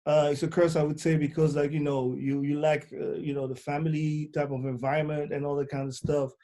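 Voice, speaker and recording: French-accented man, dark and hesitant. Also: fairly high pitch, flat delivery. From Congo, born in France. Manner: halting, many fillers and restarts. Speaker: booking agent, guest. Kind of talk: casual interview.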